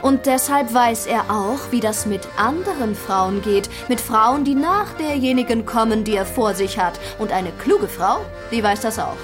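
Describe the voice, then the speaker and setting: A woman's voice: on the high side, a little slow, hardly any pauses, music in the background, natural voice. An actress playing a self-assured, flirtatious woman professor in a radio drama, articulate and given to lecturing.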